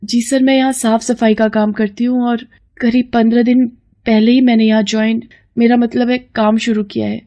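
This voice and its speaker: A woman voicing an earnest, polite young aspiring model in a moral story, speaking haltingly and mixing English into Hindi. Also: on the high side, flat delivery, dark tone, lightly acted. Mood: frightened, pleading. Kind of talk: dramatised moral-story acting.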